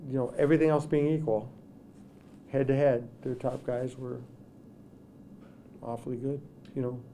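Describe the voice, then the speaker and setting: A middle-aged man, a hockey coach, answering questions at a postgame press conference, talking in halting bits with many restarts. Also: mid-range voice, flat delivery, noisy background. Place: press room with sponsor backdrop. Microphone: table microphones in front.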